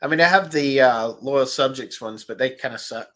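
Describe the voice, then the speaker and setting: A man hosting a relaxed stream, chatting and reading viewer comments, his voice nasal and stuffed up. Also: mid-range voice, normal speed. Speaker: host. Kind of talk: casual livestream chat about toys.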